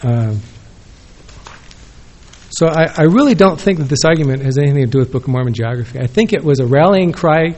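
A man's voice: fairly high pitch, expressive, fairly quick, long pauses, darkish tone. A man giving a rambling, self-correcting response at an academic conference, speaking into a podium microphone. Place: conference room with audience.

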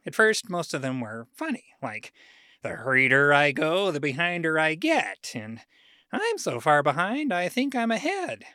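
An elderly church lady played by a man reminisces in a comic mock oral history. She is prim, folksy and disapproving, with a very animated, swooping pitch. The sound is dry, with no room around it. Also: unhurried, dry recording, exaggerated voice. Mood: gossipy, disapproving. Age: older.

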